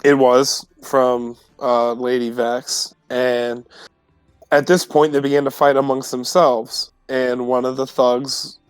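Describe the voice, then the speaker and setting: A man's voice: medium pitch, flat delivery, takes it slowly, clear, resonant tone, no echo, faint music behind, close to an everyday voice. A man playing an earnest teenage adventurer in a tabletop game, explaining himself in a hesitant, deferential way. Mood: careful.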